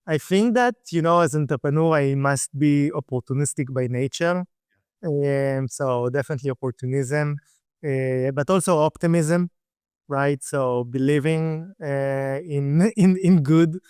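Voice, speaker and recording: Israeli-accented man, smooth voice. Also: mid-range voice, no echo, studio quality. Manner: relaxed, fillers and restarts, laughs lightly. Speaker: guest, startup CEO. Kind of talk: casual interview talk.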